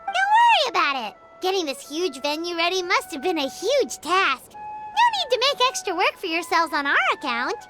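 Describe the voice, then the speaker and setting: Tiny cheeky fairy companion, very high and lively, game dialogue over music. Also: female voice, normal speed, exaggerated voice. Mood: breezy. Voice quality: high, squeaky and bright. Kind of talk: video game voice acting. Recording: game audio with loud background music.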